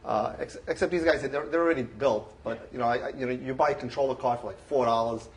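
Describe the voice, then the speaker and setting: A man giving an informal show-and-tell about his hobby at a club meeting, speaking into a handheld mic, full of fillers and restarts. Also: mid-range voice. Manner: halting, many restarts and fillers. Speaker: club member presenting his collection. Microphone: handheld microphone.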